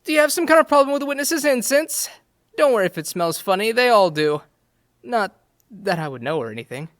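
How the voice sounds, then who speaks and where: Bubbly big-kid cheetah judge female actor, high and bouncy, easily impressed, for an animated courtroom story. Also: fairly quick, no echo, studio quality. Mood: easygoing. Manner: gushing, childlike. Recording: music behind the voice.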